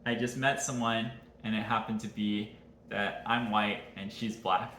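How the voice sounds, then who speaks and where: Bright-voiced Californian man, roomy sound. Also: lowish voice, little pitch movement, measured pace. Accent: Californian American. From Southern California, United States. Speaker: co-host of a family vlog. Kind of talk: casual chat with partner. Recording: roomy echo, background noise.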